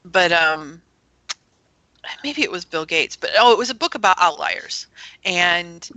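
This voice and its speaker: A woman's voice: on the low side, animated, measured pace, sharp tone, dry recording, low-quality recording. A middle-aged woman chatting casually and conversationally on a live-streamed talk show.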